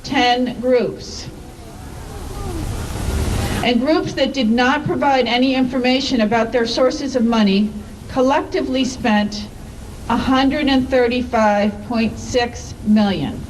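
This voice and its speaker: A woman reading formal letters aloud to a public gathering as an activist, in a deliberate, emphatic and measured way. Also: on the high side, fairly even intonation. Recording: distant microphone, clearly noisy ambience.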